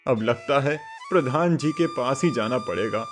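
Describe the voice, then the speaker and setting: A man's voice: mid-range voice, normal speed, lightly acted. A man voicing a humble, kind, desperate village father in a cartoon moral story, earnest and pleading. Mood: worried, despairing. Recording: music bed under the voice.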